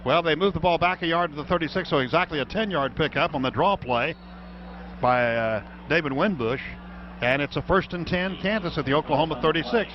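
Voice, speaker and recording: A man's voice: fairly high pitch, brisk. A man with an American accent calling play-by-play live as a football radio announcer. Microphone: broadcast booth microphone. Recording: dull radio broadcast, loud stadium background noise.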